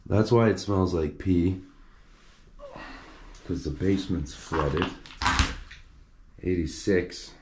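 A man filming himself as he explores a flooded basement in an abandoned house, talking in a low, hushed voice while crouching and climbing over clutter. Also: slow, pauses often, background noise. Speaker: urban explorer filming a tour. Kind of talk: hushed exploration vlog narration.

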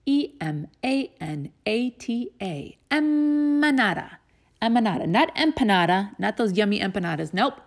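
A woman's voice: medium pitch, lively intonation, normal speed. An American woman teaching young children through a read-aloud and drawing lesson, with encouraging, chatty asides. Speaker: teacher reading to a kindergarten class.

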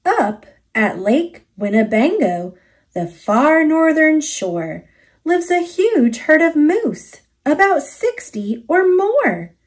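A woman with an American accent narrating a rhyming children's story aloud, expressively and with deliberate enunciation. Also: high voice, sing-song.

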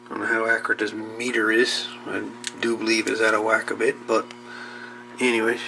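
Gravelly-voiced man narrating hands-on repair. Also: lowish voice, monotone, fairly quick. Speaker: hobbyist host of radio repair videos. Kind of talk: casual unscripted narration of a repair. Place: indoor workbench. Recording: noisy home-camera audio with clicks.

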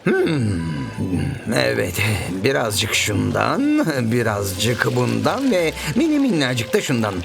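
A man voicing an eccentric, fussy, excitable old scientist in a cartoon, muttering theatrically to himself with drawn-out words. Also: mid-range voice, gravelly, exaggerated voice. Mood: pleased, muttering. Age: older. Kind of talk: animated cartoon dub acting. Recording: music behind the voice.

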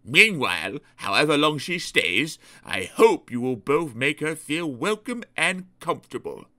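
A woman's voice: deep voice, big pitch swings, unhurried, pushed and bright, voice pushed up, full character voice. A woman voicing a formal, authoritative male railway boss in a storybook reading. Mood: firm.

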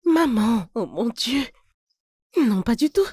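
Sheltered rich daughter, slow breathy highish voice. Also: female voice, sing-song, darkish tone, dry recording, studio quality, exaggerated voice. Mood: dreamy. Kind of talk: French film dub.

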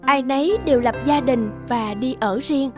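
Female storybook narrator, scripted read, high register, faint musical bed. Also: moderate pace, music in the background.

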